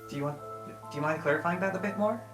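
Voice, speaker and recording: A woman's voice: on the low side, racing. A woman improvising in character as a player in a tabletop roleplay game. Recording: roomy sound, clear music underneath.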